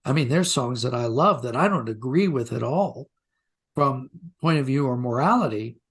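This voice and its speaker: A man, a writer being interviewed, talking reflectively and haltingly as he rephrases his thoughts. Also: medium pitch, lively intonation, husky.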